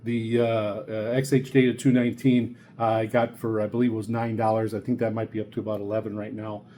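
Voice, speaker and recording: An older man giving a casual, unscripted product review, halting and rambling as he repeats words. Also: fairly low pitch, level tone, mellow, slight background noise. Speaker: radio reviewer presenting a video series.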